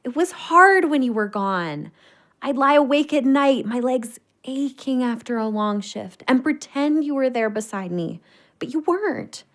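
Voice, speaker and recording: Earnest weary barmaid, higher-pitched woman. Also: animated, a little slow. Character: Rosie, loyal weary practical barmaid girlfriend. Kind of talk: audio drama acting.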